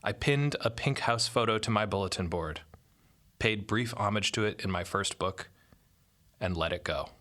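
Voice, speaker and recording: A man's voice: lowish voice, moderate pace, sharp tone. A man reading a literary essay aloud as scripted narration.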